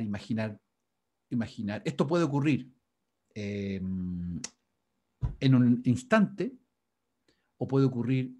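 A male university lecturer teaching over a video call. His voice is husky and mellow. He talks loosely, restarting phrases and tossing questions at his students. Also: medium pitch. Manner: rambling, frequent restarts and fillers, asks the class "¿ya?". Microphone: video call microphone.

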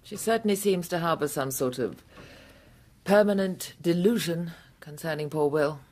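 An actress playing a proud, composed middle-aged widow in a radio drama, husky and measured. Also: female voice, fairly low pitch, darkish tone, natural voice. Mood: disdainful. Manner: measured, haughty. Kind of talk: British radio drama acting.

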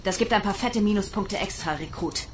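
A woman's voice: medium pitch, fairly quick, background noise, lightly acted. A woman playing a harsh, domineering female sergeant in a German science fiction radio drama, barking and mocking with sarcastic scorn. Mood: scornful.

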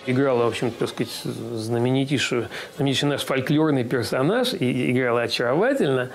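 A man's voice: mid-range voice, expressive, a little fast, soft background music. A man in his sixties giving an interview in an ornate room, talking hesitantly with many filler sounds. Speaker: interviewee, producer. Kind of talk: interview recollection.

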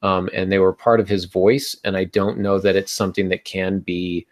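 Low-voiced man hosting a talk podcast. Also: little pitch movement, normal speed. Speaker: podcast host. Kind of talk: conversational writing-advice panel talk. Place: small room at home, indoors. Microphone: earbud headset on video call.

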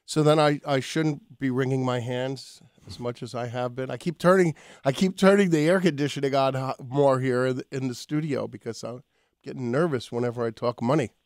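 A man's voice: medium pitch, steady tone, fairly quick, no room sound. A man hosting a radio show from a studio, interviewing in a conversational way. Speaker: radio host. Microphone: studio microphone.

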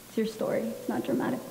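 A young woman from Somalia speaks into a handheld mic at a public panel, telling her own story at an unhurried pace, full of ums and pauses. Her voice carries a roomy echo with a long reverb tail from the large hall, over a light hiss. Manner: slow, many ums, soft laughs. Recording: echoey hall with faint hiss.

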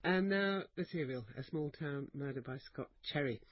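Male serial announcer, fairly high husky voice, scripted delivery, slightly dull recording. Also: normal speed, dry recording. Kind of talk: scripted radio introduction.

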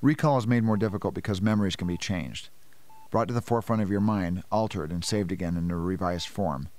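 Man as a low-voiced methodical scientist. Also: brisk, darkish tone, lightly acted. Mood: explanatory. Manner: explanatory, precise. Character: methodical male scientist explaining gently. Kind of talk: radio play acting.

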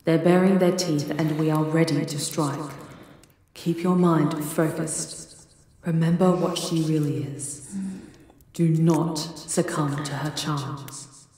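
A woman performing scripted ASMR roleplay in a hushed, deliberate, theatrical manner. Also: sits low, steady tone, a little hiss or hum.

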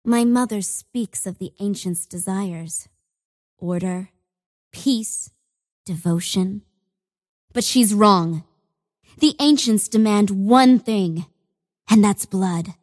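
An actress playing a bloodthirsty young female warlord of a dark cult in a video game, with imperious, menacing, theatrical delivery. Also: medium pitch, slow pace, long pauses, no room sound, studio quality. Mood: solemn, fervent.

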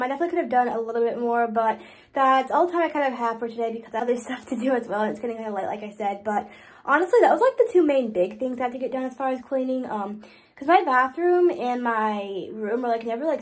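A woman's voice: on the high side, fast. A young woman vlogging casually at home, chatty and upbeat with lots of fillers, talking about cleaning her room and bathroom. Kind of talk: casual cleaning vlog chat.

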